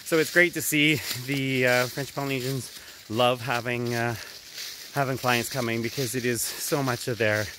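A man's voice: mid-range voice, steady tone, moderate pace. A man, giving a casual, conversational travel update as he walks outdoors. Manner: conversational, talking while walking. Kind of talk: casual walk-and-talk vlog update. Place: outdoors, walking through autumn woods. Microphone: phone at arm's length, earbuds worn. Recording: outdoor phone recording with background noise.

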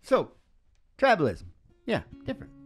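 A man's voice: high voice, huge pitch swings, moderate pace, husky. A middle-aged man chatting casually and opinionatedly as a musician hosting a live show, often checking in with "right?". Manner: opinionated, folksy, repeatedly asks "right?". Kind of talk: rambling casual chat between songs. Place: small dim room, live performance. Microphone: stand microphone close to mouth. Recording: acoustic guitar playing around the voice. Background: acoustic guitar music.

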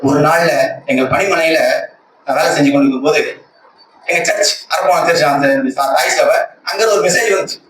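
A distant, echoing recording carries a man with a rather high voice and a Tamil Nadu accent, with clear noise behind him. He gives a personal religious testimony in an earnest, emphatic way, often repeating his phrases. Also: fairly quick. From Tamil Nadu, India. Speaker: church member giving a testimony, lay speaker. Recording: echoey, distant conference call with loud noise.

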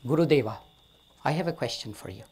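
A man playing a haughty old-time king, husky, pushy, bossy, a storyteller's put-on. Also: mid-range voice, expressive, quick pace, darkish tone. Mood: coaxing. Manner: demanding, bargaining, commanding. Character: proud ambitious ancient king, male.

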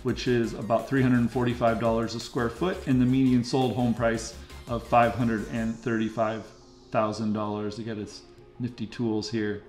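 A man's voice: medium pitch, flat delivery, normal speed, darkish tone, echoey room, soft background music. A middle-aged American man giving a casual, chatty guided commentary in the manner of a local real estate host. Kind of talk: casual map-tour commentary. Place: indoor room, screen recording with webcam.

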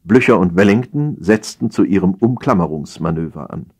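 A man with a husky voice reading satirical stories as an audiobook, in a theatrical, mock-solemn manner with deliberate phrasing. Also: low-pitched, lively intonation, darkish tone. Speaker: audiobook narrator.

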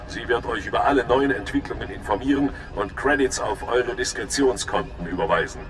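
An actor playing a stern, formal intelligence overseer in a sci-fi game, talking in a clipped, official way. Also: male voice, a little fast, fairly bright tone, lightly acted. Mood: formal, businesslike. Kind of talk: dramatic sci-fi game dialogue. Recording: echoey hall with faint rumbling noise.

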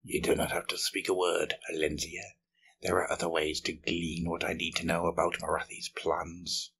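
A man voicing a sinister winged female seer in a fantasy audiobook, serene and fatalistic, speaking in a hushed, measured, breathy way. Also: low-pitched, little pitch movement, exaggerated voice. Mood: quietly menacing.

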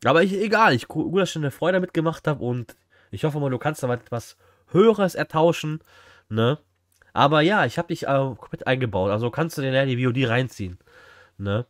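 A young male streamer talks in a casual, slangy way to his viewers. His mid-pitched voice is recorded close and dry indoors, and he chats informally as he reacts live. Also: animated, a little fast, dry recording. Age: young adult. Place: small indoor room at home.

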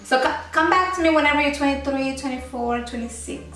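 A woman's voice: high-pitched, fairly quick, fairly bright tone. A woman in her twenties from Angola with an Angolan accent, teasing strangers on a video chat in a playful, bossy way. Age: 20s. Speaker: vlogger prank-calling strangers. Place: small indoor room, seated at desk. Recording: roomy echo from far off with faint music.

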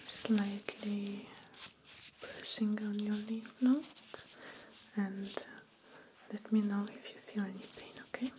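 A young woman in a soft-spoken ASMR medical role play, breathy and whispery, gentle and soothing, close to the microphone. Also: mid-range voice, steady tone, slow, background noise. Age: young adult. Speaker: ASMR performer role-playing a doctor. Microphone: close binaural microphone.

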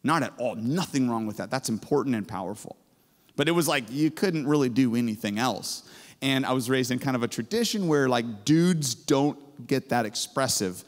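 A man in his forties, a professor giving an energetic chapel sermon on stage with a handheld mic, excitable and booming, full of storytelling. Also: normal speed. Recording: hall reverb.

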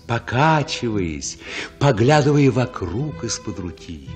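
A man playing the narrator of a radio drama, recalling childhood in an expressive, absorbed, poetic manner. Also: fairly low pitch. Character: male storyteller recalling his childhood with wonder. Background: music.

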